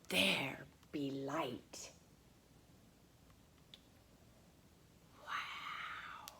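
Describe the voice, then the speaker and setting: A woman voicing a sweet, excitable lady who reads to children, drawing out words with exaggerated wonder. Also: low-pitched, husky, full character voice. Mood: hushed wonder. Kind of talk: children's story reading.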